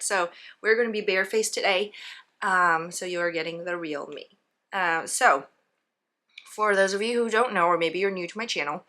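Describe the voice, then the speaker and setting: A woman hosting a knitting video, chatting casually while her hoarse voice recovers and clearing her throat often. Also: fairly low pitch, bright, forceful tone. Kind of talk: casual vlog recap.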